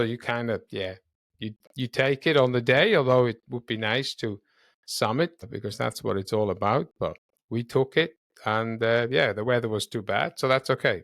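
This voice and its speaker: A man's voice: medium pitch, fairly bright tone, dry recording, clean studio sound. A man from Switzerland and South Africa, a running coach and podcast guest, talking in an upbeat way and explaining at length with restarts. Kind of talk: casual interview chat.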